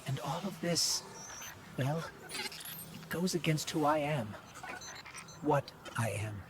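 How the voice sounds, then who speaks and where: A man's voice: a little high, animated, slow, background noise. A man playing a small, anxious, loyal companion in a video game, speaking in an earnest, worried way. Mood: uneasy.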